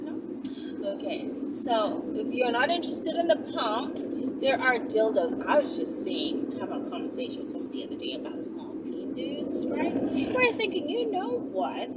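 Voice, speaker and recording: Woman, casual teasing monologue, very lively intonation, distant mic, loud background noise. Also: high-pitched. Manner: mocking, playful, drawn-out rhetorical questions. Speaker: online video creator.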